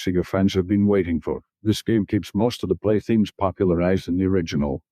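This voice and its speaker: Male reader for preview copy, deep and mellow, dry close recording. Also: dry recording. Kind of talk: reading game previews aloud.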